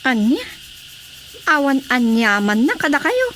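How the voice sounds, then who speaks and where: A woman's voice: sits high, very animated, unhurried, fairly bright tone, a little hiss or hum, heavily acted. A woman with an Ilocano Filipino accent playing a councilman's wife in a radio drama comedy, hesitant, evasive and deferential.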